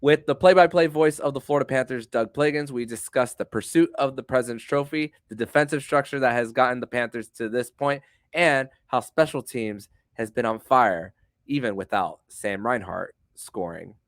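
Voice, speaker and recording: A man's voice: mid-range voice, normal speed, no room sound. A man hosting a sports podcast in an emphatic, wordy way. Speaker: podcast host.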